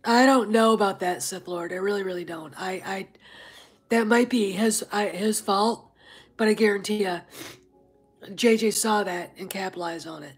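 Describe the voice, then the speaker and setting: A woman's voice: mid-range voice, moderate pace, faint music behind. A woman hosting a casual livestream, a little stuffed up from congestion, rambling with many fillers and breaking off to greet chat viewers. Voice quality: very husky, often near a whisper. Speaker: livestream host.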